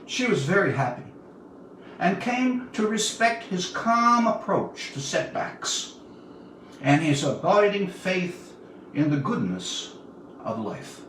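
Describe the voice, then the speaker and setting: Older man, higher-pitched, in an echoey room. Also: very animated, takes it slowly, a bit bright. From Newfoundland, Canada. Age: about 70. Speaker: writer reading his work to viewers. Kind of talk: informal livestream talk and storytelling. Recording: distant mic, roomy echo, audible noise.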